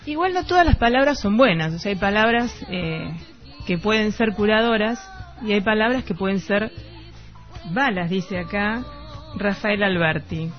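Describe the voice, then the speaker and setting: A woman's voice: mid-range voice, lively intonation, moderate pace. A woman with an Argentine Rioplatense accent co-hosting a literary radio programme. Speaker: radio co-host. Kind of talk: literary radio talk with poetic narration and read quotes. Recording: dull lo-fi radio recording. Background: background music.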